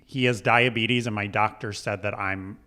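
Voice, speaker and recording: A man co-hosting a comedy podcast, chatting casually and dropping dry, deadpan one-liners. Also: fairly low pitch, normal speed.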